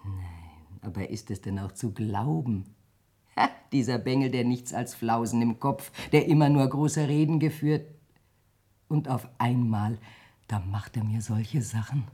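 A worn old mother in a radio verse play. Her voice is husky and unhurried, grieving and declamatory, full of rueful love for her son. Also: rumbling low, dark tone, full character voice. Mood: wistful, rueful. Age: older. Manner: declamatory, lamenting. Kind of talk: theatrical radio verse drama.

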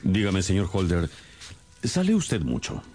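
A man's voice: low voice, wildly swooping pitch, unhurried, darkish tone, full character voice. An actor playing a shrewd, composed male detective in a radio drama, with a probing, wry and theatrical manner. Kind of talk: Spanish-language radio drama acting. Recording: faint music under the voice.

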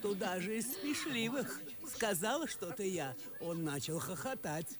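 A woman's voice: mid-range voice, expressive, measured pace, close to an everyday voice. Radio play: woman as grumbling old grande dame, plain-spoken and bluntly disapproving, mid-range voice. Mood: gruff surprise. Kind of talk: classic verse comedy radio play acting.

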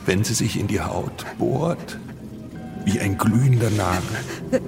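A smug, menacing kidnapper with a low voice. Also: male voice, slow pace, vocal fry, over music. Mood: sadistic, threatening. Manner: taunting, cold-blooded. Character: smug, menacing man, corrupt kidnapper and extorter. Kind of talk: German radio drama acting.

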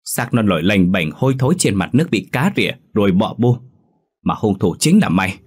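Harsh old village father, scolding, low husky voice, narrator doing him. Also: animated, brisk. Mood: stern. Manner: scolding, shouting. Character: stern older village father, harsh and unbending.

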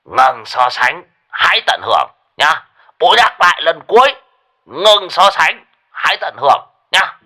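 A man's voice: medium pitch, wildly swooping pitch, normal speed, creaky, a bit bright, full character voice. A man with a Northern Vietnamese accent voicing a cranky middle-aged male gambler who rants, swears and scolds. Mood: cursing.

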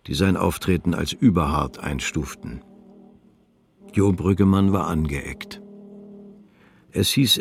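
A man narrating a literary radio drama in a sober, measured manner. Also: low-pitched.

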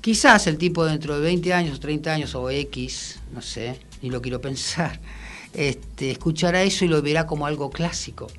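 A man with a Buenos Aires Argentine accent, a musician guest chatting casually and reflectively, with halting phrases. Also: a little high, a bit bright. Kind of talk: casual interview chat.

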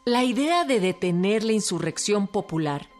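A woman's voice: mid-range voice, animated, normal speed. A woman with a Mexican accent delivering scripted documentary narration as a narrator. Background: music.

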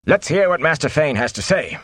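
An exasperated village mayor as a man reading the story acts him out, pitched up and commanding. He snaps his orders with short temper and firm authority in a fantasy tale. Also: expressive, brisk, fairly bright tone, no echo. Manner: snapping, authoritative.

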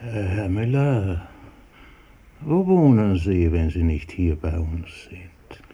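A man's voice: deep voice, slow pace, warm tone, slightly rough, heavily acted. An actor playing a considerate man who offers shelter, in a radio play. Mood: gentle, careful. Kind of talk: German radio play acting.

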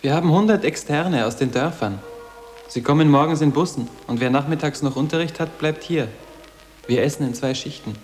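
A man's voice: fairly high pitch, brisk, darkish tone, soft background music, natural voice. A man playing a defiant, aloof teenage boarding school boy, terse and matter-of-fact, in a radio drama. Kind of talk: German literary radio drama. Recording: long hall reverb.

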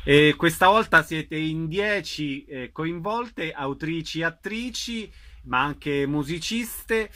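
A man's voice: on the high side, lively intonation, takes it slowly, few pauses, pushed and bright. A man hosting a radio interview. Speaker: radio host.

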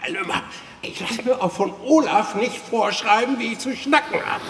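A man playing a stubborn, excitable islander with a broad North German accent and agitated delivery in a horror radio drama. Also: very high-pitched, moderate pace, noisy background. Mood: desperate. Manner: broad, garbled dialect, agitated. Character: stubborn, excitable male Heligoland islander speaking thick dialect.

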